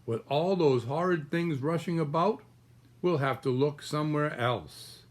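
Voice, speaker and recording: Older guy doing a fussy mama duck from a kids book, pitched up, squawky n flustered. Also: unhurried, natural voice. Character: fussy, excitable female mallard duck, expectant mother.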